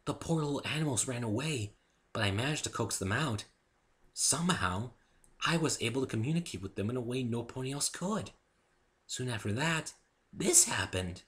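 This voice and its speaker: A meek young mare from a storybook, acted out by a man, speaks in a raised, bright voice. She is gentle, polite and soft-hearted, apologizing as she goes. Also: medium pitch, lively intonation, moderate pace, pitched above the performer's own voice, lightly acted. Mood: wistful. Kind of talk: amateur dramatic reading of a fan story.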